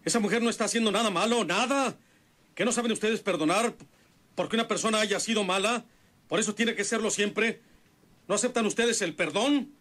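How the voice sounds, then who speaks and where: A man's voice: high-pitched, a little fast, fairly bright tone. A man playing a domineering, stubborn patriarch, emphatic and impatient in a radio soap opera. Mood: exasperated. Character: domineering, stubborn patriarch, gruffly defending a woman.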